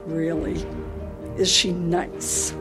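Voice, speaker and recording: An actress in a radio play performing a confused, volatile older wife with failing memory. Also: female voice, fairly low pitch, little pitch movement, drawn-out words, music in the background. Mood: confused, childlike. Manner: agitated, swinging between accusation and childlike politeness.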